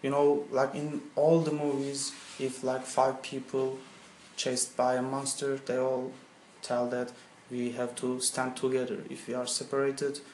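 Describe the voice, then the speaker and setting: Young man, Turkish accent, non-native English, halting interview answers, roomy echo. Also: mid-range voice, little pitch movement, a little mellow. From Turkey. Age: young adult. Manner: halting, searching for words in English. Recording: echoey room with faint ambient noise.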